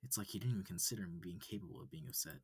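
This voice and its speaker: A man softly narrating a visual novel in a cozy, halting, often breathy reading. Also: fairly low pitch, no room sound. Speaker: reader.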